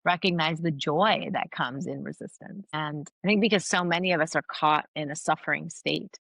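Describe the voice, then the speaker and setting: A woman's voice: sits low, moderate pace, vocal fry, a bit bright, no room sound. A middle-aged woman whose first language is Farsi, a guest giving earnest, thoughtful interview answers with frequent restarts. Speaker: guest, anthropologist and author.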